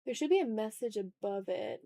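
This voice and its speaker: A woman's voice: medium pitch, animated, fairly quick, dark tone, no room sound. A woman hosting a casual art livestream, chatting in a playful, slangy, exclamatory way while she draws, close to the mic.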